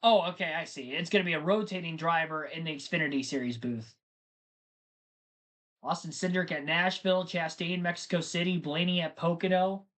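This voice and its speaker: A man's voice: fairly high pitch, fairly even intonation, moderate pace, fairly bright tone. Chatty man doing casual race commentary. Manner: chatty, rambling, talks to chat. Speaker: live stream race commentator.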